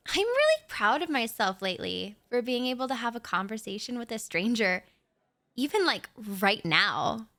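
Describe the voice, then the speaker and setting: A young woman in a shy, rambling audio roleplay monologue, talking softly straight to the listener with nervous little laughs. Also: on the high side, dry recording.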